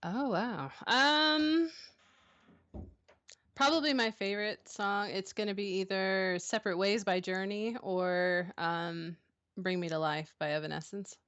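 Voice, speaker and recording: A woman's voice: medium pitch, measured pace, fairly bright tone, no room sound, lo-fi sound. A woman in her thirties, a streamer answering interview questions in a casual, hesitant way, with many ums and restarts. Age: 30s. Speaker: streamer guest.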